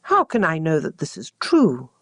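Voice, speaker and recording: A woman's voice: sits low, wildly swooping pitch, moderate pace, dry recording, studio quality, pitched below the performer's own voice, heavily acted. A woman voicing a doubtful, proud stream in a storybook tale, questioning and hesitant. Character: doubtful, proud stream afraid of losing itself.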